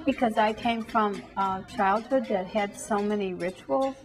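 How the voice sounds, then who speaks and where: A woman's voice: mid-range voice, unhurried, faint music behind. A middle-aged woman artist giving an interview in a classroom, speaking earnestly and reflectively. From United States. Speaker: artist and foundation founder.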